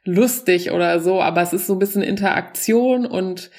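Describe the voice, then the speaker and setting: Comedian in her forties, relaxed conversational delivery, a touch low in pitch, loose and unpolished. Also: moderate pace. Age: 40s. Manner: loose, chatty, mixes in English words. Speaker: stand-up comedian and guest. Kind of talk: casual interview talk.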